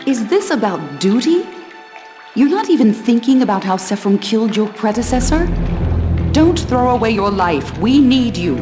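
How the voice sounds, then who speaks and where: An actress playing a proud, stern older sorceress in a fantasy game, speaking formally and pointedly with protective resolve. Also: female voice, fairly high pitch, big pitch swings, normal speed. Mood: urgent, pleading. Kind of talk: dramatic fantasy game cutscene acting. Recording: game music behind the voice.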